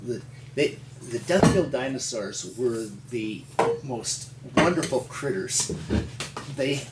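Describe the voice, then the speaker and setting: An older man from rural Montana with an American accent, telling his story in an interview in a halting, folksy, explanatory way. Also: mid-range voice, lively intonation. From rural Montana, United States. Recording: roomy, distant mic with faint hum.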